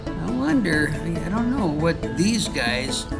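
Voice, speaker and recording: A man's voice: fairly high pitch, sing-song, slow pace, creaky, over loud music. A man hosting a casual travel vlog, talking to viewers in a chatty, digressive, rambling way. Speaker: travel vlogger and host.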